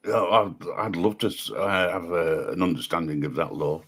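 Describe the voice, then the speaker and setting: Deep-voiced male host, halting, restart-heavy delivery, very low register. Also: fairly bright tone, husky. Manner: hesitant, many ums and restarts. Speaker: livestream host. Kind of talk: casual livestream chat.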